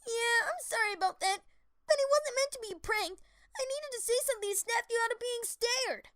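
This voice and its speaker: A woman's voice: very high voice, fairly quick, fairly bright tone, slight background noise. A woman voicing a brash, cocky young cartoon pegasus pony, with blunt, casual bravado. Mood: sheepish.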